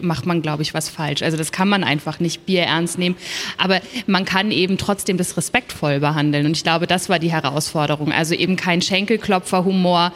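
A woman author talking conversationally and thoughtfully in a panel discussion, often adding little tag questions. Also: fairly low pitch. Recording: reverberant hall at book fair.

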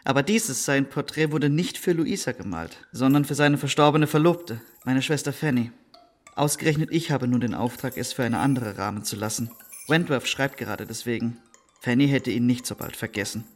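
An actor playing a loyal, grieving naval officer in a German period radio play, speaking gently and sincerely. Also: male voice, mid-range voice, normal speed, close to an everyday voice. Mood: wistful.

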